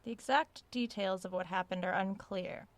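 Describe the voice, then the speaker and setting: An actress playing a curious, self-aware researcher in an audio drama, recording a spoken log in a conversational, measured way. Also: female voice, mid-range voice, lightly acted. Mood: absorbed, measured. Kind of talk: audio drama log, reading aloud and musing.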